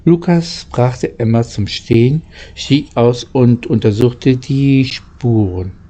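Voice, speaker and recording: A man reading a children's story aloud as an amateur narrator, haltingly, stumbling and rereading phrases. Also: medium pitch, dark tone.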